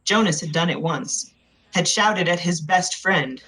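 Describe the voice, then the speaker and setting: A woman reading a novel aloud as expressive scripted narration. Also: on the low side, a little slow, slightly rough, rough recording. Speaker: audiobook narrator.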